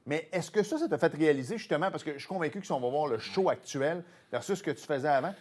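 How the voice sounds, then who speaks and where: A man with a Québécois French accent hosting a casual studio chat, telling stories with animated loud bursts. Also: fairly high pitch, fast. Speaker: host.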